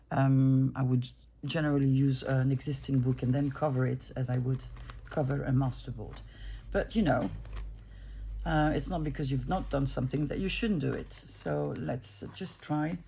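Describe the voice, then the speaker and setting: Woman, 50s, gravelly, easygoing rambling crafter talking to camera at home, faint background noise. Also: a bass voice, darkish tone. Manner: rambling, self-interrupting, easygoing. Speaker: junk journaling crafter. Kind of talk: casual chat while crafting.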